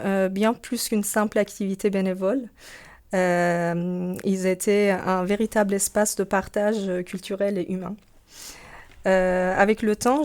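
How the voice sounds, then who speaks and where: Invitée radio, femme, accent persan, débit hésitant ponctué de « euh ». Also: female voice, medium pitch, darkish tone. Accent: Persian. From Iran. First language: Persian. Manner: hesitant, many fillers. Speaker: guest, Persian teacher. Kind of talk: radio interview. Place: radio studio.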